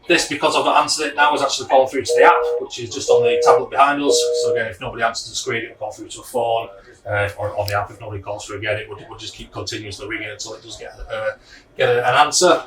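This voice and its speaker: A man walks through a product demonstration at a brisk pace, in an informal and practical style. His voice sits at an ordinary male pitch and is picked up from a distance in an echoey room. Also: fairly even intonation, a crowd in the background.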